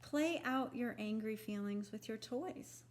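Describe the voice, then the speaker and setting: Slow-paced woman reading to children. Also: on the high side. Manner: deliberate, expressive, instructional phrasing for young children. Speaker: children's picture book reader. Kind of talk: read-aloud of a children's book.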